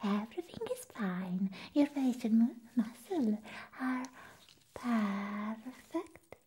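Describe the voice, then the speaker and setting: A young woman whispers very slowly as an eccentric, playful vampire nurse in an ASMR medical exam. Her voice is extremely breathy and mellow, up close to the mic. She stretches her phrases and hums approvingly between them. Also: fairly high pitch, expressive. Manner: slow, drawn-out phrases with frequent approving hums. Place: small indoor room set up as a clinic. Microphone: close ASMR microphone.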